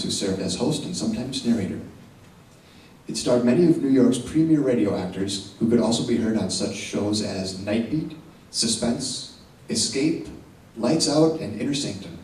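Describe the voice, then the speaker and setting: A man's voice: medium pitch, a little mellow. A middle-aged man introducing a show from a theater stage in a plain, informal host's style. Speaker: event host introducing shows. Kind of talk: casual stage introduction, partly read. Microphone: stage PA, far camera. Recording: very echoey hall, distant mic, faint noise.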